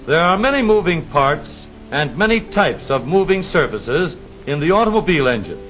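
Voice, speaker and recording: A dull, lo-fi vintage film soundtrack with music underneath carries a man's narration. His delivery is formal and precise, in the manner of a 1930s educational film announcer. The intonation rises and falls in a very animated way as he explains things step by step. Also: fairly high pitch, moderate pace.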